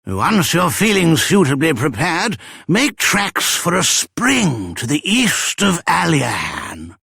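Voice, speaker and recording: An actor playing a caring old grandfather with a raspy, creaky voice, giving earnest counsel in a fantasy video game. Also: fairly high pitch, huge pitch swings, slow pace, pushed and bright, no room sound, studio quality, exaggerated voice. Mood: earnest concern. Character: caring, gruff old grandfather of a young hero.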